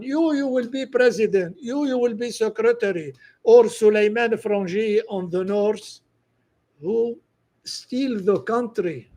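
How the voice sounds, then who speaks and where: A man from northern Lebanon with a Lebanese accent, giving a political monologue in broken English with some French phrases. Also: high voice.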